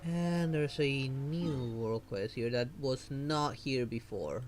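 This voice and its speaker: A man's voice: mid-range voice, slow. A man streaming a game, giving sarcastic live commentary and heckling the characters. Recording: faint game music behind. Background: game music.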